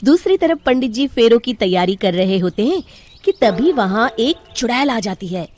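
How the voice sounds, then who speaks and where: A woman's voice: a little high, sing-song, normal speed, darkish tone, faint music behind. A woman narrating a scripted children's story as the storyteller.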